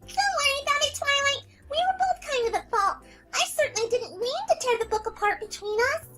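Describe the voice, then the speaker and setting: A woman's voice: extremely high pitch, animated, fairly quick. A woman voicing a bubbly, eager young female party pony in a cartoon fan fiction reading, with a sweet, exclamatory way of talking. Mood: sweet, forgiving. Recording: music behind the voice.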